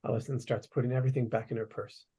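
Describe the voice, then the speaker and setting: A woman reading stage directions as scripted narration, with a husky voice. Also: a bass voice, flat delivery, racing, dark tone.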